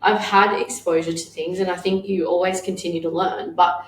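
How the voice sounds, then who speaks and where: A woman in her thirties, a business owner co-hosting a casual podcast chat, blunt and quick to swear and laugh. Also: lowish voice, steady tone. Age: 30s. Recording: echoey room with faint noise.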